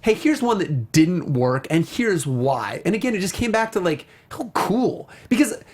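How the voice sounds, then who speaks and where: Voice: medium pitch, very animated, moderate pace, husky. Enthusiastic, rambling talk show host. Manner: enthusiastic, gushing; rambling, breaking off mid-thought. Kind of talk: casual review discussion.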